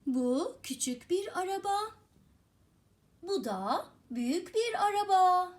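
A woman's voice: very high voice, lively intonation, slow. A woman speaking as a mother teaching her young child, asking questions and praising in an affectionate, encouraging, repetitive way.

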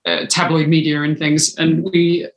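A woman's voice: deep voice, flat delivery, normal speed, noisy background. A British woman in her thirties, chatting casually as an interviewed writer. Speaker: interviewed audio drama writer. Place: small room indoors. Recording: echoey room on video call.

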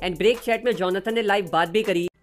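A woman's voice: medium pitch, a little fast. Woman, Indian accent, gaming news host, casual scripted narration, English mixed in, music underneath. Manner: casual, addresses viewers as friends, mixes in English. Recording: music behind the voice.

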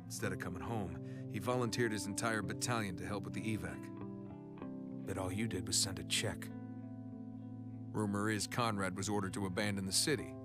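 A man acts out a weathered American special forces captain for a military video game. His voice is on the low side, gruff and commanding with dry sarcasm, and music plays behind him. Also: steady tone, a little fast, natural voice. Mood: reflective, grave. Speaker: army captain.

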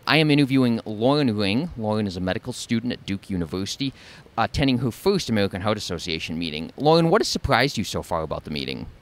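A man's voice: on the low side, expressive, quick pace. A middle-aged man working as an on-camera interviewer at an event, speaking into a handheld microphone in a large hall. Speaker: interviewer, blog host. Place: large convention hall with poster boards.

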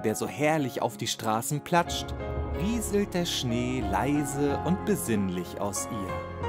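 Bedtime story narrator for children: mid-pitched, unhurried, lively intonation, music underneath. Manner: expressive storytelling.

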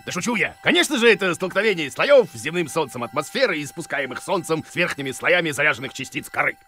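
A man performs a silly know-nothing cartoon teacher, bossy and excitable. The voice is high and crackly, leaping about in pitch as he blusters with total confidence, with music playing underneath. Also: fast, natural voice. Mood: cocksure. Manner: blustering, cocksure, exclaims in alarm. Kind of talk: children's cartoon voice acting.

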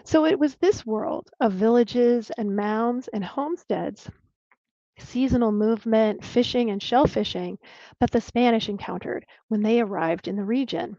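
A woman's voice: on the high side, a little slow, warm tone, no room sound. Woman archaeologist lecturing over a video call. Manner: clear explanatory lecturing with small restarts. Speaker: archaeologist giving a talk. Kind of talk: presenting a lecture with slides. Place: home room on a video call. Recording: dull, lo-fi dry video call audio.

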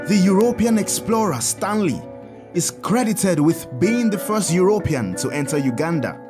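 An actor playing a kindly priest in an audio drama, telling saints' stories in a measured, expressive, instructive way. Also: male voice, sits high, music in the background. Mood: earnest, explanatory. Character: kindly priest, Father Tad, teaching children about saints.

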